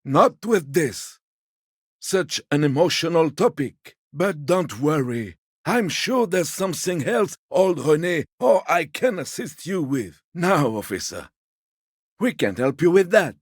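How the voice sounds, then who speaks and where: An actor playing a fussy, timid old gourmand gentleman in a video game, a husky voice and a polite, hesitant, slightly pompous manner. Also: on the high side, sing-song, unhurried, dry recording, studio quality, exaggerated voice. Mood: soothing, apologetic. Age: older. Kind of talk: video game character dialogue.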